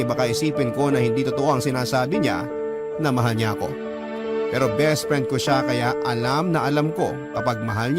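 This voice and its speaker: Man acting earnest Filipino confessor over loud music. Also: medium pitch, lightly acted. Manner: confessional, heartfelt. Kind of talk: dramatised letter narration, radio storytelling.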